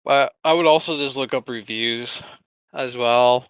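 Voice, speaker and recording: A man with a bright, crisp voice chats casually as a podcast co-host. The recording is dry and close, and his delivery is conversational and joking. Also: medium pitch, unhurried, dry recording. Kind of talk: casual banter.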